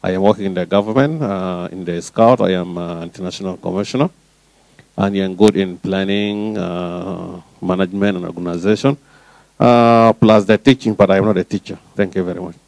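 Deep gravelly man from South Sudan. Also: steady tone, a little mellow, no echo. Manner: hesitant, many pauses. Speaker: international scout commissioner.

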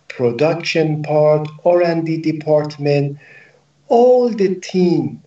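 A man in his forties from Iran with a Persian accent, a native Persian speaker explaining things as an interviewed company director in deliberate, halting English on a video call. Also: a little high, mellow. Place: indoor office room with hard ceiling. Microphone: video call, laptop at desk distance. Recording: echoey, distant, muffled video-call audio.

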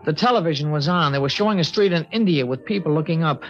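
A man's voice: a little high, quick pace, soft background music, natural voice. A man playing an earnest teenage boy on radio. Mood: reflective. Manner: plain, reflective, a little hesitant. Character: earnest teenage boy narrating the last day. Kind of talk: 1950s radio drama acting and narration. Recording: dull 1950s radio broadcast recording.

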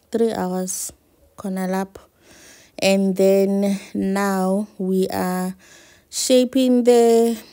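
A woman's voice: medium pitch, warm tone. A woman chatting unhurriedly as she cooks in her home kitchen, thinking aloud. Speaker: home cook vlogger. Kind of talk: casual cooking narration.

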